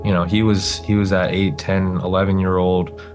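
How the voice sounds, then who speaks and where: A man's voice: low voice, level tone, moderate pace. A middle-aged man talking reflectively in a documentary interview, with "you know" fillers. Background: guitar music.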